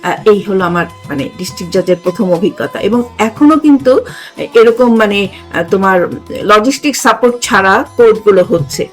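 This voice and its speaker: A woman's voice: medium pitch, animated, brisk, faint music behind. An older woman with a Bangladeshi accent hosting a conversational discussion, emphatic and often repeating herself to seek agreement. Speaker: talk show host, retired judge.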